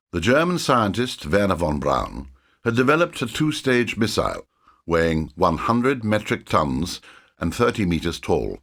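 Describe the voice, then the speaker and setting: A man's voice: low-pitched, a bit bright. A man narrating a war game cutscene with an emphatic, formal, scripted delivery.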